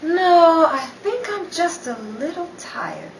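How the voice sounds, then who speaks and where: Wronged society wife, acted by a woman, indignant and firm, lively swooping delivery, 1930s radio style, roomy distant sound. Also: very high-pitched, slow, creaky, full character voice. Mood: irritated, weary. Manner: dramatic, indignant. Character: proud, wounded society wife and mother, firm. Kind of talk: 1930s radio play acting. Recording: echoey room, distant mic, faint noise.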